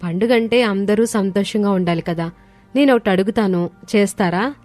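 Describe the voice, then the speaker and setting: A woman voicing a gentle, selfless eldest daughter-in-law, respectful and traditional, with a polite, pleading manner. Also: medium pitch, normal speed, close to an everyday voice. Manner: polite, soft-hearted, pleading. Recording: studio voice over background music.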